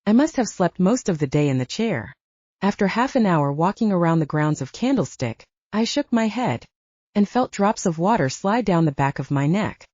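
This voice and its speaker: A woman's voice: on the low side, a little mellow, dry recording, muffled recording. A woman reading first-person fiction as a scripted audiobook narration.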